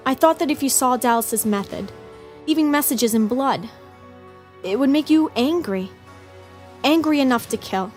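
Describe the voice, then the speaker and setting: A tormented woman with a fairly high voice confesses and pleads like a killer full of remorse. The delivery is dramatic comic acting, with music underneath. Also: expressive, moderate pace. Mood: bitter, confessing.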